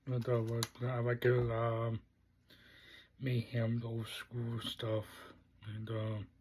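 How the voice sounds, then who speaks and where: A man hosting a casual unboxing vlog, speaking in halting, short fragmented phrases. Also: medium pitch, completely flat delivery, a little mellow, a little hiss or hum. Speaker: unboxing video host.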